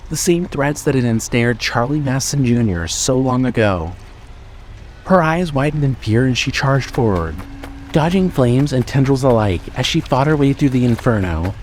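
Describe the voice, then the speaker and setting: A man's voice: mid-range voice, rough recording. A man narrating a scripted horror story in a dramatic style. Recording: loud ambient noise behind voice.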